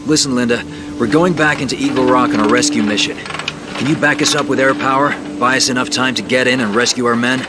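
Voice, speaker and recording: A man's voice: mid-range voice, steady tone, a little fast, heavy background noise, lightly acted. A man playing a determined resistance commander in a war video game, speaking in terse orders. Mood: commanding, focused. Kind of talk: video game cutscene acting.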